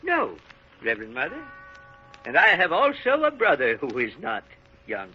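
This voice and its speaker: An actor playing an excitable, deferential old French convent gardener in a vintage radio drama. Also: male voice, fairly high pitch, slight background noise. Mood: humble, hesitant. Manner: excitable, animated, halting and chuckling. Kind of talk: 1930s-40s radio drama acting. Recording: muffled vintage radio broadcast recording.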